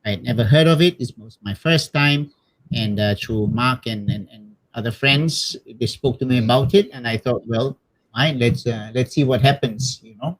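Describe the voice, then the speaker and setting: A man's voice: medium pitch, normal speed, poor audio. Hesitant middle-aged Malaysian man telling his story. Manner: hesitant, repeats words, tags with "you know". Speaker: missionary priest being interviewed. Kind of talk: casual personal storytelling.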